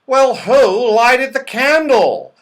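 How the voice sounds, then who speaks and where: A man's voice: very high-pitched, animated, slow, pitched above the performer's own voice, full character voice. A man voicing an anxious, puzzled magical man in a storybook. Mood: worried. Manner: worried, puzzled.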